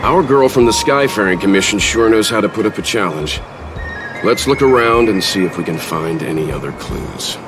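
An actor playing a composed, thoughtful adventuring companion in a video game, speaking in a measured, wry way. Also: male voice, on the low side, animated, music in the background, close to an everyday voice.

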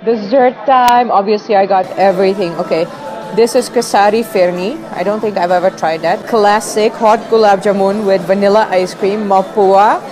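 A young woman reviewing food on camera for a vlog, expressive as she tastes and talks. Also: mid-range voice, moderate pace, noisy background. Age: young adult. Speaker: food and travel vlogger. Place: busy restaurant dining room. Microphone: clip-on lapel mic. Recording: restaurant crowd chatter behind.

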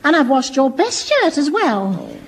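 A woman's voice: sits high, sing-song, a little slow, heavily acted. An actress playing a gushing, giggly middle-aged mother in an old radio comedy. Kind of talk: 1970s radio comedy acting.

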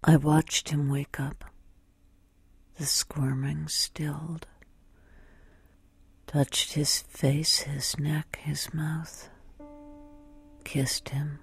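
An actress playing a brooding, lovesick woman, confiding in a breathy near-whisper with measured, intimate delivery in a radio play. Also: low-pitched, little pitch movement, soft background music, exaggerated voice. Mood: aching, intimate. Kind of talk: intimate dramatic monologue.

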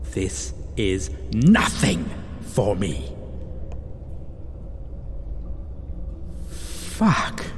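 Casting: male actor as menacing five-hundred-year-old vampire lover for gothic audio roleplay, possessive, highly animated delivery, heard in a long reverberant hall. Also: slight background noise. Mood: scornful, irritated. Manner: slow, theatrical, swearing, swinging between whispers and cries. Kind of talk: dramatic gothic ASMR roleplay.

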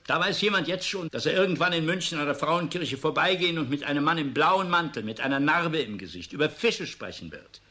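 An actor playing a disillusioned, weary professor in a radio drama, musing and sardonic. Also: male voice, fairly high pitch, animated, sharp tone. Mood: resigned. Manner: musing, sardonic, halting and emphatic by turns. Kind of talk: German radio drama acting.

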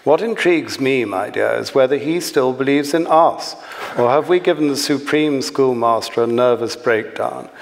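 A man's voice: medium pitch, moderate pace, lightly acted. Guy doing a weary posh english snob from a novel, pompous, sardonic, echoey hall. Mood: wearily sardonic. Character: world-weary, sardonic, obsequious upper-class man. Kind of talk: satirical novel read aloud. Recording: echoey hall, clear background noise.

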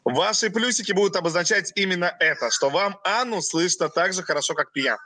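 A young man from Russia, a company president giving a webinar in short phrases and pausing for his interpreter. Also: sits high, sharp tone, no echo. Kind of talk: webinar presentation.

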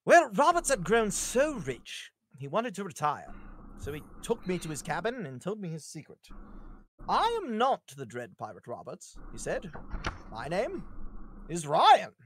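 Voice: medium pitch, wildly swooping pitch, a little slow, husky, poor audio. A performer reading a hero's lines in a casual comedy table read on a group video call, playful and given to ad-libs. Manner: playful, breaking into laughter and ad-libs.

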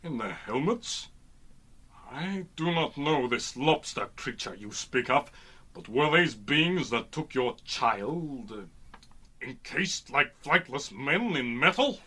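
A man playing a proud, fierce bird-man warrior in a radio serial, speaking formally and theatrically with a raspy voice. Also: mid-range voice, very animated, slow, pushed and bright, full character voice. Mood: grave alarm. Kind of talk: old-time radio serial acting.

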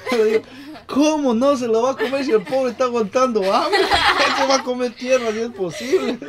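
A Guatemalan woman chats casually and tells teasing little stories. Her voice sits at an ordinary pitch. She is outdoors, with loud chatter from a group around her. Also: moderate pace. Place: outdoors in a rural yard.

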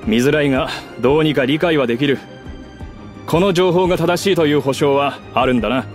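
An actor playing a businesslike covert agent in an anime video game, speaking in a cool, curt and formal way. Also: male voice, on the high side, brisk, natural voice. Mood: cool, impatient. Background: game music.

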